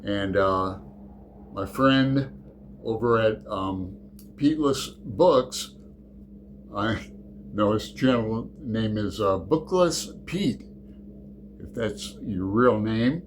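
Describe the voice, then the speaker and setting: A man hosts his own book channel in an unhurried, chatty way. He rambles, often halts mid-thought and starts his sentences over. There is a faint ambient hum behind him. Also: on the low side, animated. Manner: rambling, halting, full of restarts. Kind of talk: casual chat.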